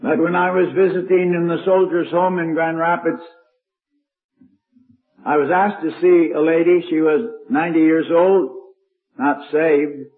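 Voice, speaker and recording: Older male preacher, slow and fairly high, strong carrying voice, tinny archival sound. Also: steady tone. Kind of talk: old-time sermon.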